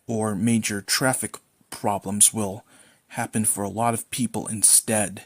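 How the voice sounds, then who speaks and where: A man's voice: on the low side, little pitch movement, unhurried. A man commenting on a cartoon, earnest and lecturing in tone. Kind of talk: casual reaction commentary.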